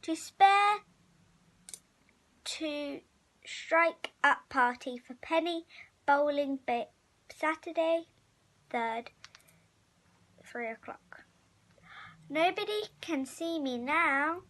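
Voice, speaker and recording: Very high-pitched child reading a story. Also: animated, a bit bright. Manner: acts out the story's child hero, halting over some words. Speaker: story reader. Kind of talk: expressive read-aloud of a picture storybook.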